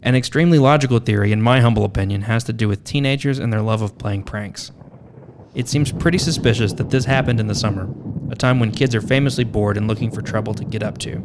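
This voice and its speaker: A man with a fairly low voice narrates a scripted podcast as its host. His delivery is wry, with tongue-in-cheek asides. Some noise sits behind the voice. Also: quick pace.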